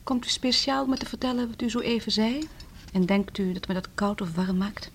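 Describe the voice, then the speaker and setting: Woman actor as composed society hostess, radio play: mid-pitched, formal, guarded, faintly arch, slight background noise. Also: steady tone, a little fast, lightly acted. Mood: cool, hospitable. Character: poised divorced lady, a courteous, guarded hostess. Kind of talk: Dutch radio drama dialogue.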